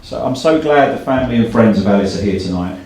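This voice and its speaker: Low-voiced man hosting in an echoey hall. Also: lively intonation, fast talker, over a noisy crowd, very noisy. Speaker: event host. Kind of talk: prepared speech and poetry reading to an audience. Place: indoor live launch event. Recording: very echoey hall, far from mic.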